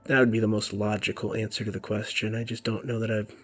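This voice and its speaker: A man giving casual, rambling commentary over a video game, musing about himself and often dropping to a soft near-whisper. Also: fairly low pitch, level tone, fast. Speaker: let's play commentator.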